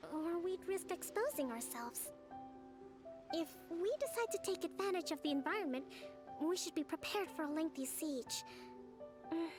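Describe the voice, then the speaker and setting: Calm young priestess strategist. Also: female voice, very high voice. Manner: composed, polite, measured. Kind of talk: video game voice acting. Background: faint game music.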